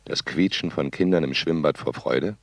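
A low-voiced, eccentric sound artist for a radio play. He sets down his words in deliberate, clipped fragments. It falls between a tape catalogue and a spoken poem. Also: over loud music. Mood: tender, poetic. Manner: deliberate, terse, fragmentary phrases. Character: eccentric male sound artist, cold commentator and tender poet.

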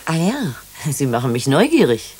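A woman's voice: low-pitched, very animated, normal speed, darkish tone, slightly rough, heavily acted. An actress playing a genteel, playful, middle-aged woman doctor with a fondness for liqueur in a German radio drama, speaking in an arch manner. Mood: curious. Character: genteel, coy, aging female doctor fond of liqueur.

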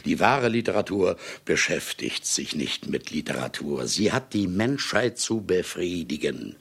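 A grandiose, cynical older man of letters in a radio drama. His voice is husky and gravelly, swinging widely in pitch as he declaims with boastful relish. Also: sing-song, a little slow, fairly bright tone, heavily acted. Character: boastful ageing famous male writer confessing to murders. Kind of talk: theatrical German radio play monologue.

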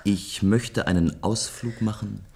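An actor playing a restless man of a future society who longs for adventure, musing deliberately in a German science-fiction radio play. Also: fairly low pitch, vocal fry, darkish tone, slightly rough, exaggerated voice. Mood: earnest, musing.

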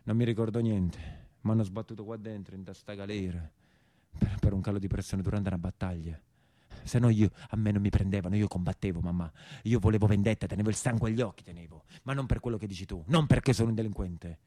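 An actor playing an embittered imprisoned peasant brigand in a theatrical monologue, speaking emphatic, repetitive dialect with a southern Italian accent. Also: male voice, on the low side, fairly even intonation, fast talker, warm tone, no echo, clean studio sound, close to an everyday voice. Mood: plaintive, embittered.

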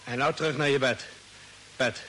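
Man acting a stern, sharp-voiced farmer, old radio. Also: medium pitch, fairly even intonation, normal speed, natural voice, low-quality recording. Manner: commanding, blunt, repeats his warnings. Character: stern, suspicious sheep farmer guarding a sick stranger. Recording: hissy old radio drama recording.

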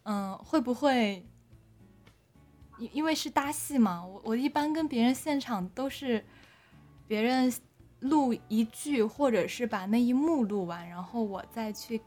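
Casting: female drama director with a fairly high voice, chatting off the cuff, halting, with repeated words. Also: darkish tone, faint music behind. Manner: hesitant, often repeating words mid-phrase. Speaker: audio drama director being interviewed. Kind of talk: unscripted casual interview chat.

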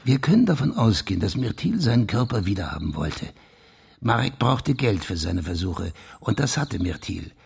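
Police investigator in a radio crime play, really raspy and creaky, explains insistently. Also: male voice, medium pitch, exaggerated voice. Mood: intent, explanatory. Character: police official laying out his grim theory of a crime. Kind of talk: German crime radio drama acting.

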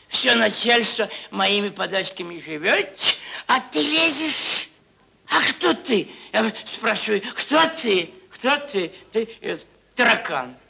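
Theatrical audio drama: a crude, cynical merchant with a bright, forceful voice. He swings widely in pitch as he mocks, rambles and circles back over the same words. He takes his time, bullying and needling at every turn. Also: vocal fry, husky, full character voice. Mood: scornful bullying. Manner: rambling, repeats words, chuckles, mocking. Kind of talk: theatrical stage acting, Russian classic prose.

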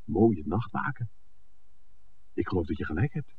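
A shrewd film scout in a vintage radio drama. His deep voice moves expressively, with dry teasing and deliberate phrasing. The old recording is noisy and muffled. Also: sing-song. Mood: wary, dry. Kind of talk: 1960s radio drama acting.

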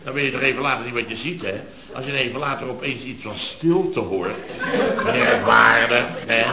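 Actor as exasperated, sarcastic boss on echoey radio. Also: male voice, mid-range voice, normal speed, creaky, crowd noise, close to an everyday voice. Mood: incredulous. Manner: grumbling, sarcastic, long-winded ranting. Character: exasperated, sarcastic male boss of a contracting firm. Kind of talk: 1970s Dutch radio comedy acting. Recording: dull archive radio recording, echoey, audience laughter.

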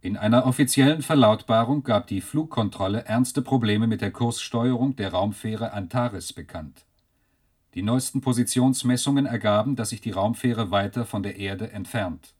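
An actor playing a sober male newsreader in a radio play, reading a formal bulletin in a factual, slightly husky voice. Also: lowish voice, a little mellow. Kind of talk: formal scripted news bulletin.

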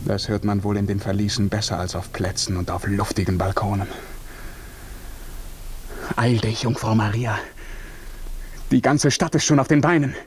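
An actor playing a desperate young imprisoned lover, pleading and praying in an impassioned 1950s German radio drama style. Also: male voice, fairly low pitch, steady tone, normal speed, long pauses, slight background noise, natural voice. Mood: bitter despair.

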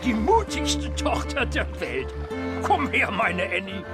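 A big-hearted, boisterous pirate papa in a children's radio play. The voice sits high and swings widely, unhurried and theatrical, with exclamations over music. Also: male voice, wildly swooping pitch, exaggerated voice. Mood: jubilant. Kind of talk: German children's radio drama acting. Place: studio radio production.

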